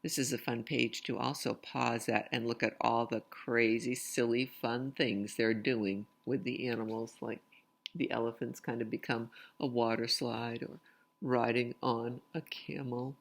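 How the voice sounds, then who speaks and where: A woman's voice: extremely low pitch, steady tone, a little slow, gravelly. A woman reading a picture book aloud expressively, with casual asides to young listeners. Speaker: read-aloud storyteller.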